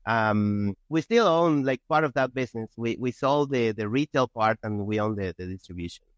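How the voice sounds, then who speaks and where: A man from Argentina with an Argentine accent and Spanish as first language, telling his story casually and haltingly as a guest. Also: medium pitch, expressive, no room sound. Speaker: podcast guest, entrepreneur.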